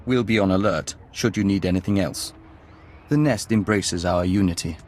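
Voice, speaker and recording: An actor playing a calm diplomat joined to an insect hive, speaking formally and in measured phrases as "we" in a video game. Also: male voice, low voice, slight background noise, close to an everyday voice. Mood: calm, serene. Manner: measured, formal, refers to himself as we.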